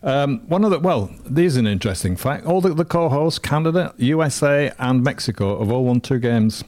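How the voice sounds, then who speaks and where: A man's voice: medium pitch, lively intonation, moderate pace, a little mellow, no echo. A middle-aged man co-hosting a casual football radio chat, teasing his co-host in a chatty, wry way.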